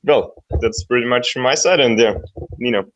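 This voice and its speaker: A man from Upper Austria, a flight director, introducing himself in a relaxed, hesitant way with many fillers. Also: medium pitch, moderate pace, poor audio.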